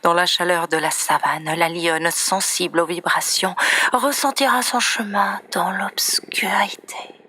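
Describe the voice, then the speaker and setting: A woman reciting poetry in a breathy, often near-whispered voice, with solemn, dramatic and deliberate phrasing. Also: fairly low pitch, steady tone, pushed and bright. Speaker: poet reciting own poems. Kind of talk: slow expressive poetry recitation.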